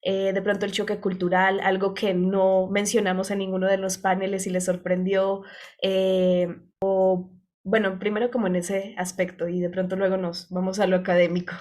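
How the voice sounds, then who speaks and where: A woman's voice: medium pitch, monotone, normal speed, a little mellow. Hesitant woman moderating a panel. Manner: hesitant, with fillers.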